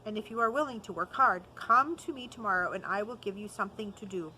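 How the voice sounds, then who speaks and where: Lady reading a strict old music teacher guy, firm and measured, kinda kind, bright voice. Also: medium pitch, a little hiss or hum, lightly acted. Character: stern kindly old male music master.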